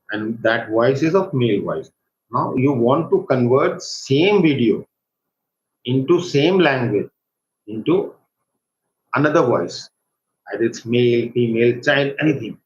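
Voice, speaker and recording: A man with an Indian accent giving a live step-by-step software tutorial as an instructor, haltingly, often checking for agreement with short tag questions. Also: medium pitch. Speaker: workshop instructor. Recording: echoey room, dull lo-fi sound, faint noise.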